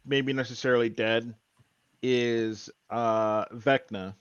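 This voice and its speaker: A man co-hosting a podcast, casually recounting a show in an earnest, halting way. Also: mid-range voice, fairly even intonation, smooth, no echo, muffled recording. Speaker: podcast co-host.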